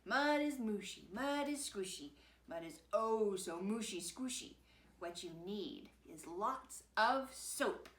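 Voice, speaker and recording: A woman's voice: medium pitch, animated, slow, fairly bright tone. Woman as a scolding, singsong mother pig, echoey. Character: bossy, fretful mother pig.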